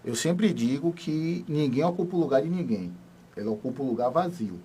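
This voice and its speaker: A man lays out his points in a calm, measured way during a radio interview. His voice is mellow and warm at a mid pitch. A faint room ambience sits underneath him. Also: a little hiss or hum. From Salvador, Bahia, Brazil. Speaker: military police major. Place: radio studio.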